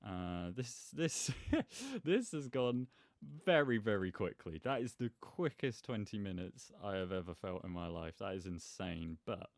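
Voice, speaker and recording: A man's voice: fairly low pitch, sing-song, a little slow, creaky, no room sound, clean studio sound. A man giving casual, rambling gameplay commentary as host, with a self-deprecating manner. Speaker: gaming video host.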